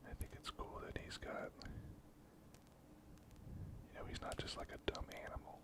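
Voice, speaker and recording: A hobby painter whispering an unhurried, casual ASMR commentary in a breathy, unvoiced voice close to the microphone. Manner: unhurried, casual murmured musing. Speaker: hobby miniature painter and video host.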